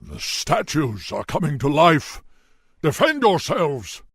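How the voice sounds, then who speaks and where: A man playing a huge, wise old tauren chieftain in a fantasy video game, solemn and gentle, with a deep, gravelly voice. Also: wildly swooping pitch, slow pace, no room sound, studio quality, full character voice. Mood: alarmed. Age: older.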